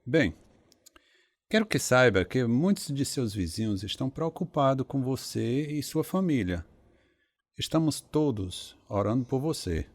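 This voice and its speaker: A man's voice: mid-range voice, very animated, measured pace, a little hiss or hum. An actor playing a kindly, polite, caring male neighbour with a Brazilian accent in a radio drama. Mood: concerned.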